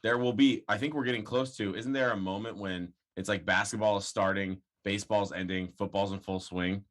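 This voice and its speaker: A brash man trash-talks rival teams on a casual sports podcast, swearing freely. His voice sits a little low. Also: fairly even intonation, a little fast, fairly bright tone. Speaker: podcast co-host.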